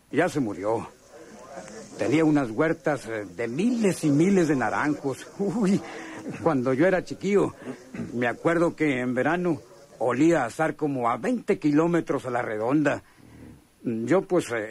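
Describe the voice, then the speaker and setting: Husky rural Mexican man. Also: mid-range voice. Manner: colloquial, halting, folksy storytelling. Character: rough rural Mexican man from poor peasant family, chummy, bitter. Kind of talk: radionovela acting.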